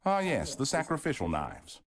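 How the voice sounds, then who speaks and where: Slippery collector, gracious surface, animated pitch. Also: male voice, normal speed. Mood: smooth. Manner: polite, evasive, lively pitch. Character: smooth, guarded male museum collector. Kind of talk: video game voice acting.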